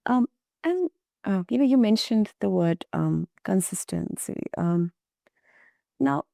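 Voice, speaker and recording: A woman's voice: mid-range voice, lively intonation, slow, vocal fry, no room sound, clean studio sound. A woman hosting an interview, asking long questions with hesitations and frequent restarts. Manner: hesitant, many fillers and restarts, warm praise. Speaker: podcast host.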